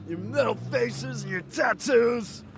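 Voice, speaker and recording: A man's voice: very high-pitched, slow pace, background noise. A man playing an angry, suspicious, foul-mouthed gas station clerk who rants accusingly, in an audio drama. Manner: accusing, ranting.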